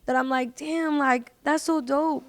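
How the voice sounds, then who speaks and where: High-voiced woman chatting casually. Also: steady tone, measured pace, no room sound. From United States. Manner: informal, slangy, emphatic with "like" and "literally". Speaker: podcast guest, independent singer. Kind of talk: casual chat.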